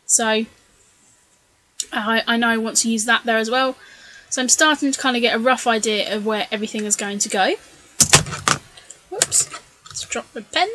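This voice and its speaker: A woman talks through her scrapbooking project in a loose, chatty voice-over, stopping often for ums and side comments. Her voice is bright and a touch high, and a little worn, as if she is losing it. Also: moderate pace, long pauses, a little hiss or hum, poor audio. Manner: chatty, rambling, many ums and self-corrections. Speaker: scrapbooking video creator. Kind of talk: casual voice-over narration of a craft process.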